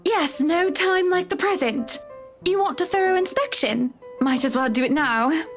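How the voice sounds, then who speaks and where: A woman's voice: very high voice, moderate pace, soft background music. A woman playing a chatty, self-assured wealthy wife in a dramatic visual novel, with a breezy, assertive manner. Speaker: game character.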